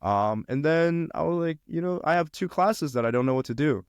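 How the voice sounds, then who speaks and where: A man's voice: a little high, animated, moderate pace, clean tone, no room sound, clean studio sound. A young man from China, a podcast guest chatting casually about college life, with lots of fillers and restarts. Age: young adult. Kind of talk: casual conversation.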